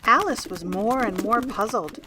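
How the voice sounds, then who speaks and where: A woman reading scripted storybook narration for an audio drama, as an expressive storyteller. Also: fairly high pitch, normal speed, noisy background. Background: music.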